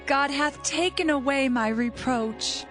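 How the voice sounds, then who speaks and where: Woman acting a fervent, slow-spoken biblical wife. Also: high voice, fairly bright tone, faint music behind. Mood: fervent, triumphant. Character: Rachel, yearning, determined biblical wife longing for children. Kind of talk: dramatised audio Bible acting.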